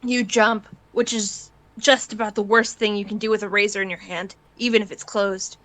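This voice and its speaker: A woman's voice: mid-range voice, level tone, moderate pace, fairly bright tone, no room sound, poor audio. A woman narrating a piece of fan fiction in the second person, as an amateur reader.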